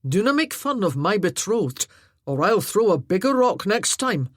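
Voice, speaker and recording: A woman voicing a stern, commanding Highland laird. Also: sing-song, normal speed, slightly rough, no echo, clean studio sound. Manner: commanding, threatening. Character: stern male Highland laird, protective fiancé.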